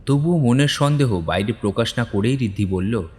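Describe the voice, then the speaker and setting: A man's voice: medium pitch, expressive, moderate pace, darkish tone. Reverberant male story narrator. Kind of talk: scripted audio story narration. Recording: long hall-like reverb.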